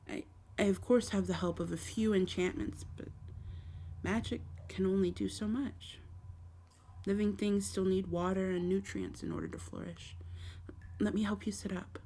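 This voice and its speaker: A woman voicing a gentle, lonely dark fae in an ASMR roleplay, speaking tenderly and hesitantly to the listener in formal storybook phrasing. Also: mid-range voice, creaky, close to an everyday voice. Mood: gentle, caring. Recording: faint background noise.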